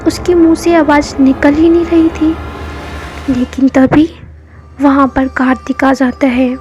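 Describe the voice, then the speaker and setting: A woman narrating a scripted horror story in a measured, even storytelling manner. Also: high-pitched. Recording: dull lo-fi with background music.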